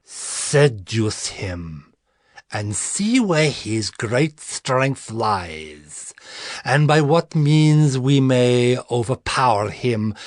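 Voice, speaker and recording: An actor playing a sly, scheming ancient lord with insinuating delivery in a scripture drama. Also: male voice, mid-range voice, no room sound, studio quality, heavily acted.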